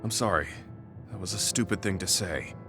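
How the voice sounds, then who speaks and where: Game music plays under an actor as a weary veteran soldier. He is a man with a lowish voice, humble and full of self-reproach, speaking earnestly and with measured restraint. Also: lightly acted. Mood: apologetic. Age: older. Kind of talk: video game English voice acting.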